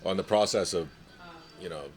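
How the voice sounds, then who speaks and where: A man from Nigeria with a very deep, low voice talks through his career as a chef. He speaks in a measured, reflective way and drops in a light laugh now and then. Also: soft background music. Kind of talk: casual interview.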